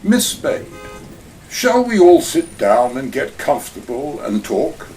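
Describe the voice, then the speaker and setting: A man playing a smooth, scheming male crime boss in a community radio play, speaking in formal, elaborate phrases. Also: mid-range voice, very animated, slow, distant mic, over music. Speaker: actor in community audio drama.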